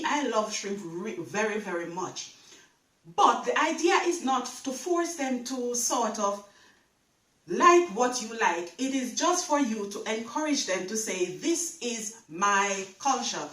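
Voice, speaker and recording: A woman's voice: on the high side, fairly bright tone, recorded from a distance. Chatty Jamaican woman in an echoey room. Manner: chatty, repeats words, speaks straight to viewers. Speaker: travel vlogger. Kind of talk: casual talk to camera.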